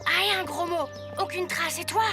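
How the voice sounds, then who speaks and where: High-voiced earnest cartoon schoolboy. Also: female voice, moderate pace. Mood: urgent, determined. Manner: expressive, exclamatory. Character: Martin, earnest adventurous schoolboy hero. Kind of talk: French-language children's cartoon dub. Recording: music bed behind the voice.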